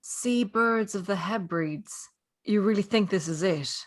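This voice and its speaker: A woman plays a calm, sceptical woman. Her medium-pitched voice moves slowly, with a questioning manner, as in a night-time audio drama scene. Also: natural voice. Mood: curious. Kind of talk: audio drama table read.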